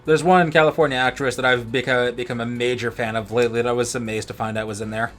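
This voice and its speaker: A man's voice: medium pitch, fairly even intonation, quick pace, a bit bright. Male let's play co-host, casual and wordy, repeats and restarts words, game music underneath. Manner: rambling, stammering with repeated words. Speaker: gaming video co-host. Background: video game music.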